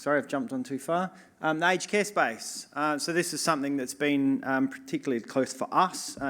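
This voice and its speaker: A man chairs a conference session with a mid-pitched voice. He talks casually and hesitantly, filling gaps with many fillers as he introduces the next speakers. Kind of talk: conference presentation.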